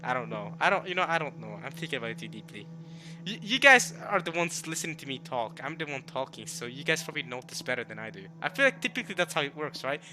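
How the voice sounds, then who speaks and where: A man's voice: medium pitch, quick pace, rough recording. Rambling male game streamer, non-native English speaker. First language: not English. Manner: rambling, repeats and rephrases himself, excitable bursts. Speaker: streamer playing and reading a visual novel. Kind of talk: casual let's-play commentary with reading aloud. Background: game music.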